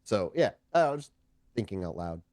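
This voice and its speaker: Male game master, animated pitch, conversational tabletop delivery, very dry recording. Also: normal speed, no room sound. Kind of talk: narrating and refereeing a tabletop game.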